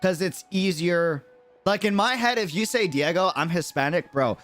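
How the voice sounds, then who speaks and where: A man's voice: sits high, normal speed, a bit bright. A young American man reacting casually as a game streamer, slangy and emphatic, often repeating himself for effect. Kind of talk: casual livestream reaction commentary.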